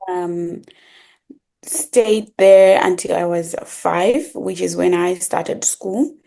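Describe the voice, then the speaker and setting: A young woman from Zimbabwe, a guest in an interview, telling her story with many pauses and restarts. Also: on the low side, fairly even intonation, vocal fry, warm tone, low-quality recording. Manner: halting, many ums and restarts. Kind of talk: casual interview conversation.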